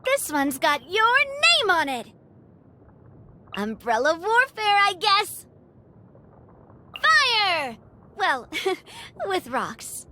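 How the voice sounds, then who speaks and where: A bright-spirited young lady leader from a video game, with a very high, swooping voice. She speaks slowly and expressively, with bubbly, playful warmth and genteel confidence. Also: slight background noise, exaggerated voice. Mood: spirited, battle-ready. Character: cheerful, genteel young woman, confident leader with a sweet tooth. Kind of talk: video game character voice lines.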